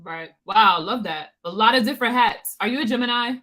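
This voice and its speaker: A woman's voice: on the high side, a little fast, pushed and bright. A woman hosting an interview podcast, enthusiastic and bubbly, speaking over a video call. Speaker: podcast host.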